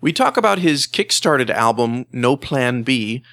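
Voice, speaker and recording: Bright-voiced male music show host. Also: medium pitch, sing-song, a little slow, no echo. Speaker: host and interviewer. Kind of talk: music show narration and interviewing.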